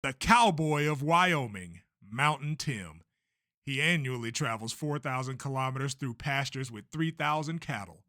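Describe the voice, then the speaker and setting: Showy race promoter for a manga dub, male actor: forceful, declamatory, unshakeable, close dry sound. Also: mid-range voice, animated, no room sound. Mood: showy, presenting. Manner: grandiose, declamatory, deliberate. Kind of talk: amateur anime-style manga dub.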